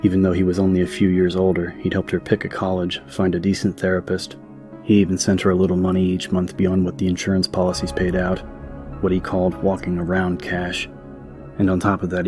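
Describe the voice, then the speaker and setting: A man narrating an audiobook from a script in a dramatic story style. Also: low-pitched.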